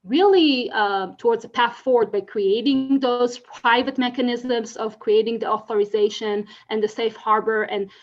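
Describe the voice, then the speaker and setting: A woman's voice: on the high side, normal speed, hardly any pauses, highs cut off. A woman from Israel with an Israeli accent, giving an earnest conference presentation full of fillers and restarts. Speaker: conference speaker.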